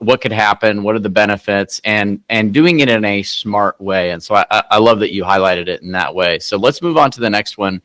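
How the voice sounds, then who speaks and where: Male interviewer, lowish voice, conversational and agreeable, dry recording. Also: fairly bright tone, dry recording. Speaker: podcast host.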